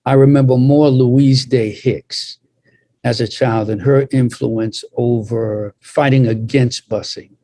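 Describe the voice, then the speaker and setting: An older man, a pastor, telling a reflective story on a panel with deliberate, emphatic phrasing. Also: mid-range voice, steady tone, mellow, no echo.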